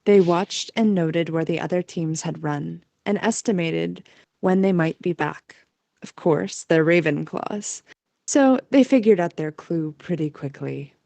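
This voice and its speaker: A woman's voice: on the low side, measured pace, vocal fry, dark tone, no echo. A woman reading scripted story narration as the narrator of an amateur audio drama.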